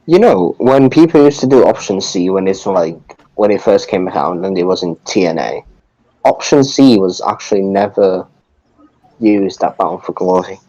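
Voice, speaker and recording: A man's voice: deep voice, expressive, normal speed, warm tone, no room sound. A man co-hosting a podcast in casual chat, recorded dry on a dull, lo-fi mic. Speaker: podcast co-host.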